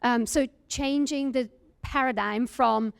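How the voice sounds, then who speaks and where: A woman's voice: fairly high pitch, slow pace, gravelly, smooth. A woman from Hungary, giving an earnest presentation as a founder, with frequent fillers. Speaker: startup founder giving a talk. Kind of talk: presentation to an audience.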